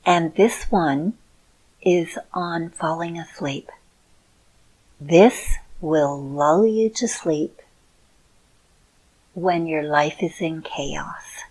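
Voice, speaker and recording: A middle-aged woman talking to her viewers in a slow, soothing and encouraging way. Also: deep voice, a little mellow, poor audio. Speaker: video host. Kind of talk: heartfelt personal talk to viewers.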